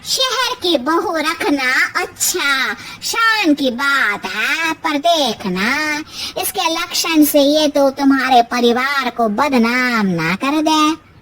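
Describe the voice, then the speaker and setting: A woman voicing a nosy village woman who spreads gossip in a cartoon story, with slow, drawn-out taunts. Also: sits high, animated, noisy background. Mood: mocking.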